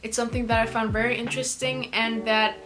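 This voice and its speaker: A woman's voice: a little high, little pitch movement, fast talker, music in the background. A teenage South African girl, an Afrikaans speaker, answering viewer questions in a chatty, rambling way with lots of "like". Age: teens. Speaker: YouTuber. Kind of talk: casual Q&A vlog.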